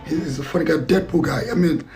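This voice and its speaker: Gravelly man, reflective. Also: fairly high pitch, a little fast, a little mellow, soft background music. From Zambia. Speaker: actor and filmmaker guest. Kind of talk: interview answers.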